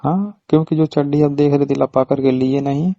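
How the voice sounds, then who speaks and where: A man's voice: a little high, steady tone, fairly quick, dark tone, no echo, close to an everyday voice. A man playing a flirtatious, coyly suggestive pizza delivery man in a casual phone call skit, speaking colloquially and hesitantly. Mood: teasing. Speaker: actor in staged prank-style phone call skit. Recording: muffled lo-fi phone call recording.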